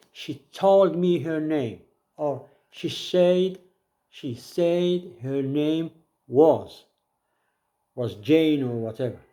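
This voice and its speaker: A man teaching an English grammar lesson, reading each example sentence and then repeating it in a slow, deliberate way. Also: on the high side, warm tone.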